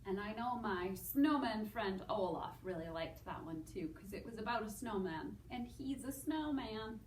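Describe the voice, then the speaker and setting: A woman hosting story time for young children, speaking in a sing-song, encouraging way and pausing as if for their answers. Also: mid-range voice, animated, a little hiss or hum. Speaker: children's story time host at a public library. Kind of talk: children's storytelling and singing, addressing young listeners. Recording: roomy echo, distant microphone.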